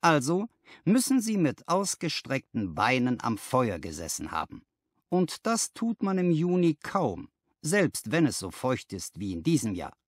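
A man acts out a keen gentleman detective from a classic storybook, his voice raised and forceful. He reasons aloud in a genteel, self-assured way with swooping emphasis. The recording is dry and close. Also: medium pitch, wildly swooping pitch, a little slow, no echo, voice pushed up. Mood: analytical. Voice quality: bright, forceful. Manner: crisply analytical, genteel. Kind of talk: audiobook character reading.

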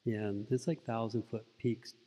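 A soft-spoken middle-aged man, a Buddhist monk, giving a relaxed, rambling talk into a stand mic in an indoor meditation room. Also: lowish voice, a little slow, long reverb tail. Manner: relaxed storytelling with frequent restarts. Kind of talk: informal dharma talk. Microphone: stand mic close in front.

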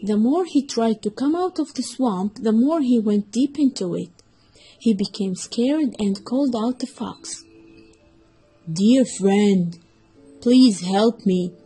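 A woman's voice: fairly high pitch, expressive, measured pace, warm tone, slightly rough, soft background music, rough recording. A woman reading a scripted children's story as the narrator.

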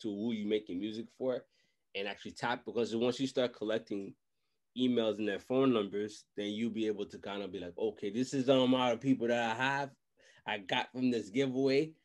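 A man's voice: on the low side, fairly quick. Young man giving casual, slangy advice. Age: young adult. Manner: animated, slangy, repeats phrases. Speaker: podcast host and music industry adviser. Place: small indoor room, video call. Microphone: desk microphone, close.

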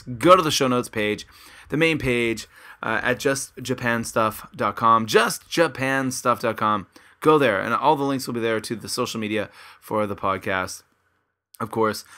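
Upbeat podcast host, bright chatty man. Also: medium pitch. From Canada. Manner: upbeat, chatty, addresses listeners as guys. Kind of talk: casual podcast intro and interview. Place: his home in Kobe, Japan.

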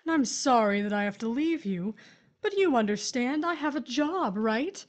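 A shrewd widow, acted by a woman for an audio horror drama. Her voice sits fairly high, and she is coolly vengeful and in command. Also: expressive, moderate pace, natural voice. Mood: coolly triumphant. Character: shrewd vengeful widow, calmly taking charge of her husband.

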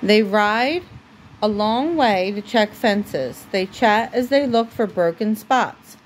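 Woman, mid-pitched, unhurried bedtime-story reader, deliberate phrasing, slightly noisy home recording. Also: animated. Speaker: mother reading a bedtime story to her child. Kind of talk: reading a children's storybook aloud.

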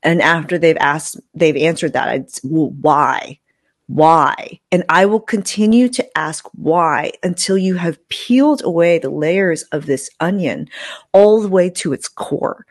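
A woman's voice: low voice, measured pace, no room sound, studio quality. A woman hosting a podcast in a casual, conversational way, reflective and repeating words for emphasis. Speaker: podcast host. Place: indoor room, video call. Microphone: close podcast microphone with fluffy windscreen.

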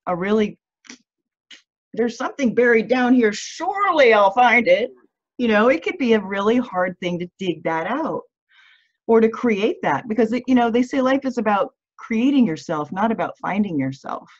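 A woman's voice: fairly high pitch, animated, normal speed, no room sound. A woman hosting an interview over a video call. She affirms often and asks long questions with many restarts. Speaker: interview host. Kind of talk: casual interview chat. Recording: dry, muffled video-call audio.

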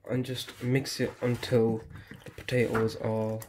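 A man hosting a home cooking channel, talking viewers through a recipe step by step in a steady, instructive way from his kitchen. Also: medium pitch, measured pace, slight background noise. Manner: steady, instructive, often addresses viewers as guys.

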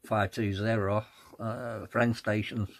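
A man making casual radio contacts with call signs, in halting procedural phrasing. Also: lowish voice, little pitch movement, gravelly, a little hiss or hum. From England. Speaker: amateur radio operator.

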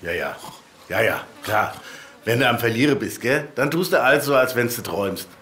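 A man's voice: mid-range voice, animated, moderate pace, fairly bright tone, a little hiss or hum, close to an everyday voice. An actor playing an old-fashioned, rusty male letterbox in a radio play, pedantic, quarrelsome and needling. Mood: smug, needling. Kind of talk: German radio play acting.

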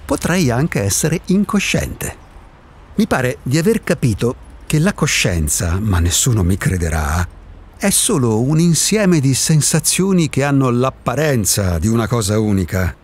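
An actor in a radio drama playing an eccentric, disillusioned male recluse who muses philosophically with theatrical rhetorical questions, in a husky voice. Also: medium pitch, darkish tone, exaggerated voice.

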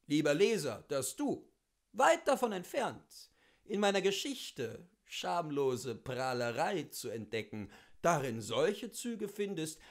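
A man giving an expressive, theatrical reading of a literary memoir, with deliberate phrasing and emphatic pauses. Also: on the high side. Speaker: reader of literature.

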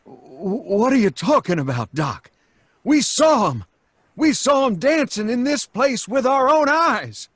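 A man's voice: very high-pitched, sing-song, a little slow, a bit bright, husky, dry recording, exaggerated voice. A man playing a fearful, superstitious rustic townsman who stammers, in an old-time radio horror play. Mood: terrified. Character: nervous, superstitious rustic townsman, reluctant sidekick.

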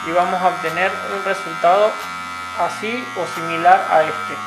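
A young man, a barber calmly explaining a haircut step by step in a small barbershop. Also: high voice, normal speed, noisy background. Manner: calm, instructive, repeats steps patiently. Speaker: barber instructor. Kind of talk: step-by-step tutorial narration. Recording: roomy sound with music behind. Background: background music and clipper buzz.

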